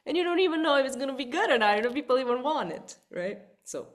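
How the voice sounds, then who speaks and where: A woman who is a vocal coach, presenting a live online webinar in a lively way. She repeats words and keeps checking in with listeners. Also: sits high, fast.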